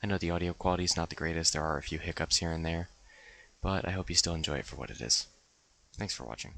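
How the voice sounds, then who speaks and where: A man's voice: sits low, fast talker, vocal fry, bright, forceful tone, a little hiss or hum. A man casually introducing his own audio as its creator. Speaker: ASMR creator.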